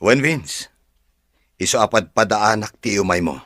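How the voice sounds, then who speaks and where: A man plays an earnest Filipino farm owner in love. The voice is deep and courteous, in drama dialogue with hardly any room sound around it. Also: lively intonation, unhurried, fairly bright tone, dry recording, studio quality. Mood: firm, polite. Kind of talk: dialogue in an Ilocano serial drama.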